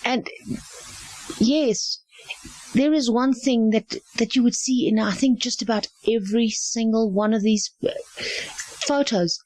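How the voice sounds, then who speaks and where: A woman's voice: a little high, a little slow, long pauses, darkish tone, low-quality recording. A middle-aged South African woman whose first language is Afrikaans, speaking earnestly and repeating phrases for emphasis. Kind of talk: earnest spoken testimony.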